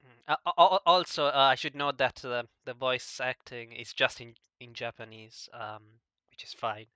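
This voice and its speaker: A man talking casually as an interviewer, halting and stammering over the starts of his words. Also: mid-range voice, steady tone, bright, forceful tone, smooth, no room sound. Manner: halting, stammering, frequently repeating word starts. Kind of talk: casual discussion of visual novels.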